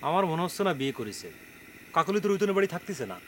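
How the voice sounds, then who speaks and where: An actor playing an anxious, reasoning young villager in a TV comedy drama. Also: male voice, high voice, very animated, racing, fairly bright tone, slight background noise. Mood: worried, reasoning. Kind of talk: Bengali TV comedy drama acting.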